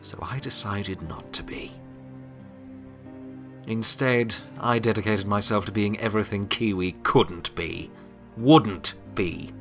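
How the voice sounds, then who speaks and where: Man playing weary, low-voiced pony of Death. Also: fairly bright tone, soft background music. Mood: bitter, subdued. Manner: reflective, halting, confiding. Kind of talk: dramatic audio fiction monologue.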